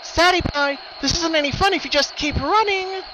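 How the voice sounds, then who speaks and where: A woman's voice: very high voice, normal speed, lo-fi sound, background noise. A woman voicing a cheerful, sadistic killer pony with a happy, sing-song menace in a dramatic story reading. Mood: playful taunting. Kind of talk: dramatic fan fiction reading.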